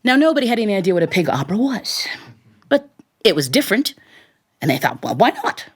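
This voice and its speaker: A woman telling a story live as a storyteller, with a theatrical, expressive delivery. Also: medium pitch, fast, slightly rough. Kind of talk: live storytelling performance for an audience.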